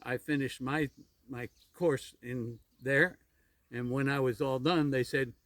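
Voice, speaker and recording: A man in his seventies from New Jersey telling his life story in a casual interview, halting and repeating words with little chuckles. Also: medium pitch, fairly even intonation, fairly bright tone, no room sound. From New Jersey, USA. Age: 70s. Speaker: interviewee, retired pilot.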